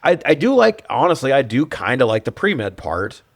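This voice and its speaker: A man's voice: medium pitch, sing-song, moderate pace, no echo, studio quality. A man co-hosting a casual film review, informal and wry, rambling into asides and swearing freely. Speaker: podcast co-host reviewing films.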